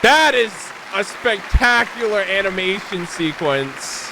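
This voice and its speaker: A man's voice: high-pitched, expressive, takes it slowly, crowd noise, noisy background. A man giving casual, slangy reaction commentary as a game streamer, easily amazed. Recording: game music behind.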